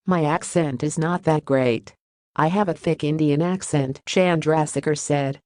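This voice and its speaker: A woman reading a news article aloud in a steady, even manner. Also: low voice, measured pace, dry recording, studio quality. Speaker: narrator.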